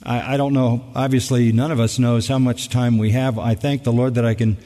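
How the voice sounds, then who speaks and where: An older man, a pastor answering questions from the congregation in a measured, reflective way with touches of humor. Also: mid-range voice, little pitch movement, warm tone. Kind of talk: live Q&A answers. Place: large church auditorium. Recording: long reverb of a large hall.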